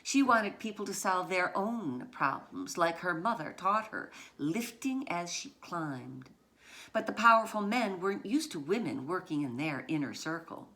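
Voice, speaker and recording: A woman author reading her children's picture book aloud in deliberate short phrases, with dramatic emphasis on key words. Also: on the low side, big pitch swings, sharp tone.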